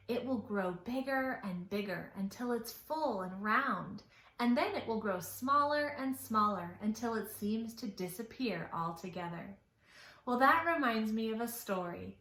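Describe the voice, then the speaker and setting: A woman's voice: medium pitch, a bit bright. A woman leading a children's storytime, talking in an exaggerated singsong way as if to small children. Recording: echoey room.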